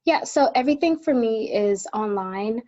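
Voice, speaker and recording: A woman's voice: a little high, animated, normal speed, a little mellow. A woman in her twenties answering interview questions casually as a student guest, a little hesitant in her phrasing. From born in Tanzania, in the United States since childhood. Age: 20s. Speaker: guest, college student advocate. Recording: slightly dull video call audio.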